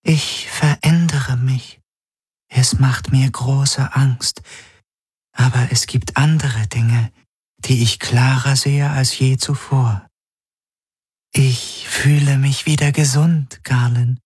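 A man voicing a sorrowful, devoted female apparition with a breathy, hushed, halting delivery, in an audiobook story. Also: medium pitch, steady tone, dry recording, clean studio sound, voice pushed up. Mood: regretful, afraid. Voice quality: breathy, whispery. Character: fading glassy dream apparition, female, sorrowful devoted servant.

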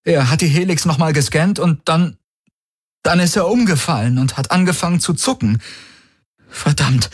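A man acts out a scheming noble playing the worried friend in an audiobook. The voice is lifted well above his own and husky. He sounds breathless and pleading, tripping over words in feigned distress. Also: mid-range voice, lively intonation, measured pace, no echo, studio quality, pitched above the performer's own voice. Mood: frantic, stammering. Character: scheming young-sounding male noble feigning panicked, stammering worry. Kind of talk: audiobook character acting.

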